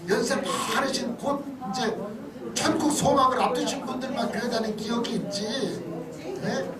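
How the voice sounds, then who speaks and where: A man's voice: very high voice, moderate pace, a loud crowd. A middle-aged man, a pastor, giving a conversational sermon-like lecture, often checking in with his listeners. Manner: conversational, punctuated with short checking questions to listeners. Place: church hall. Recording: distant mic in echoey room, noisy.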